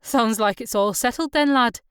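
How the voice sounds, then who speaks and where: A woman narrator plays a genial pub landlord, a hearty and easy-going man. He talks in a hospitable, matter-of-fact way in storybook dialogue, clear and dry. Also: on the high side, moderate pace, fairly bright tone, no room sound, voice pushed up, lightly acted. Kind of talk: audiobook character dialogue.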